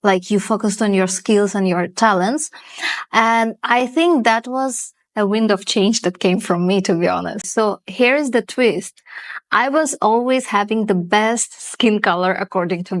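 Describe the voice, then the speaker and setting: Guest: young woman from Russia, mid pitch, dry close sound, casual talk with Bangla phrases mixed in. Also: moderate pace, no echo. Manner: mixes English with Bangla phrases. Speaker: guest dancer. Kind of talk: casual interview.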